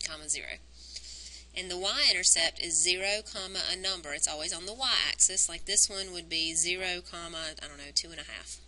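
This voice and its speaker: A woman teacher recording a math lesson on a wired mic, explaining each step and counting points aloud, her voice worn and going hoarse. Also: on the low side, moderate pace, pushed and bright, a little hiss or hum, low-quality recording. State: losing her voice. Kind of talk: recorded math lesson, working through graphs. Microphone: wired microphone on a cord.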